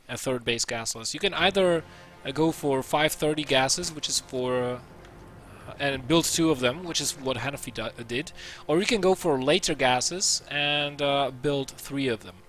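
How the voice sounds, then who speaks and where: A man from Slovakia casts a strategy game match in relaxed, chatty talk. He often stops and restarts his sentences with fillers, over a soft bed of faint music. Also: medium pitch, a little slow. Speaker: esports caster. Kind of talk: casual game commentary.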